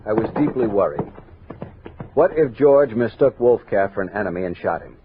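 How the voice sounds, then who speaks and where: An actor playing a resolute, watchful army captain who narrates a western radio drama in measured, earnest tones. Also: male voice, lowish voice, lively intonation, phone-quality sound, close to an everyday voice. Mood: grave, uneasy. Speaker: narrator and lead character. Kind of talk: 1960s western radio drama acting, first-person narration. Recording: noisy, band-limited 1960s radio recording.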